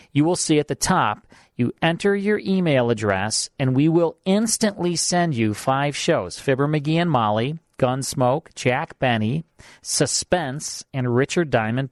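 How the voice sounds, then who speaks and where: An American man with a mid-pitched voice hosts a radio show in a conversational style. He is close to the mic in a very dry studio. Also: no room sound. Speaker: radio host.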